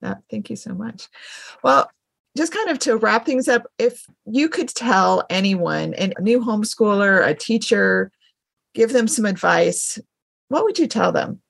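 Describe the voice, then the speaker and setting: Woman podcast host, middle-aged, husky with plenty of vocal fry. Conversational, encouraging, appreciative. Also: medium pitch, expressive, a little slow, no room sound. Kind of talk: conversational interview hosting.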